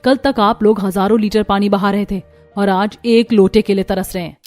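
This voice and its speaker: A woman's voice: medium pitch, fairly even intonation, moderate pace, darkish tone, soft background music, lightly acted. A woman voicing an overworked, complaining young daughter-in-law in a cartoon story. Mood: scolding. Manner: complaining, sarcastic. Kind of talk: Hindi cartoon story acting.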